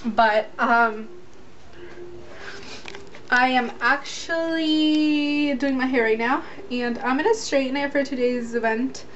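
A woman's voice: sits high, takes it slowly, fairly bright tone. A young American woman vlogging in a casual, rambling way, talking into a handheld camera at home. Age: young adult. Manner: informal, rambling, frequent "like". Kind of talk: casual chatty vlog. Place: small rooms at home, closet and bedroom. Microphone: handheld camera at arm's length. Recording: dull, noisy handheld camera audio.

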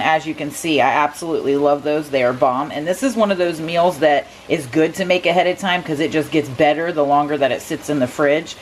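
A woman's voice: sits low, a little hiss or hum, rough recording. A young woman with an upbeat, chatty voice-over, walking through her weekly meal prep in a home kitchen. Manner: upbeat, chatty, lists macros and steps. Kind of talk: casual cooking voice-over.

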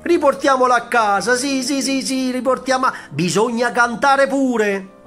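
A man voicing a band of rowdy young revelers who chant a slow mock funeral song. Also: extremely high pitch, faint music behind, pitched above the performer's own voice. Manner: loud, mocking, drawn-out lamenting song.

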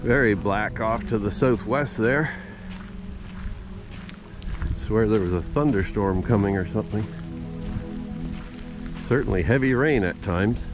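Older guy, lowish voice, chatting outdoors on a walk, kinda understated, music in the background. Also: measured pace, long pauses. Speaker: vlogger. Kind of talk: casual outdoor walking narration. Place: outdoors on a rural trail in rain. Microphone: handheld camera at arm's length.

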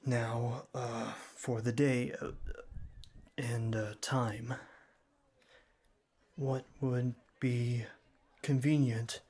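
Man acting reserved young male student, medium pitch, unhurried, shy, earnest, stiffly formal, stammering, ASMR roleplay. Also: level tone, a little mellow, breathy edge, exaggerated voice. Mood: awkward, shy. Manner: halting, stammering, formal wordy phrasing.

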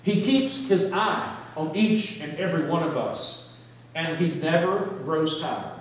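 Male pastor, middle-aged, fairly high voice, folksy sermon delivery, very reverberant distant church recording. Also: lively intonation, unhurried. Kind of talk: sermon with scripture reading. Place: church sanctuary, speaking from pulpit and floor. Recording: echoey church hall, distant mic, faint noise.

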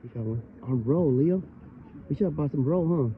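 A man's voice: a little high, moderate pace. Man vlogging on muffled, noisy outdoor phone audio. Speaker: host of a fishing channel. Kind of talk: casual outdoor vlog chat. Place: outdoors at a river, on and near a small boat. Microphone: handheld phone near arm's length.

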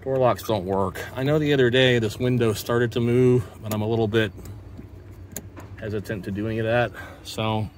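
A man's voice: lowish voice, fairly even intonation, moderate pace. A middle-aged American man giving casual, dryly humorous commentary while working hands-on outdoors. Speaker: host of a car repair channel. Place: outdoors beside a car. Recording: clearly noisy outdoor recording.

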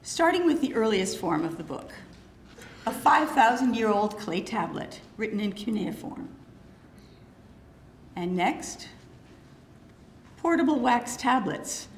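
A woman in her sixties with a gravelly, hoarse voice, giving a prepared lecture as a curator, speaking into a podium microphone with some fillers and pauses. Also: medium pitch, slight background noise. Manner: measured, slightly halting delivery with fillers. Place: lecture hall with wood paneling. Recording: roomy hall, faint ambience.

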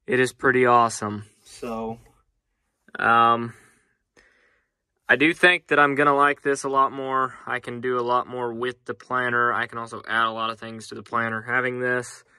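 A young man vlogs from his farm shop and tractor cab with a phone held at arm's length. His voice is bright and close with a dry sound, and he chats in a relaxed way, with easy pauses and fillers. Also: medium pitch, smooth, no room sound. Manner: relaxed, chatty, many ums. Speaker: farmer vlogger. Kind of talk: casual vlog walkthrough. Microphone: handheld phone at arm's length.

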